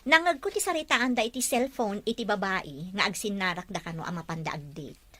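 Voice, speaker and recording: A woman's voice: medium pitch, brisk, pushed and bright, no echo. A woman playing an aggrieved, jealous wife in a Filipino family drama, with a Filipino accent. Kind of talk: naturalistic drama dialogue.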